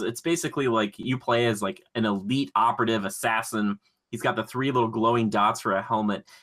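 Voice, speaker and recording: A man co-hosting a podcast in casual chat, pitching his ideas in an excitable, slangy, rambling way. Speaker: podcast co-host.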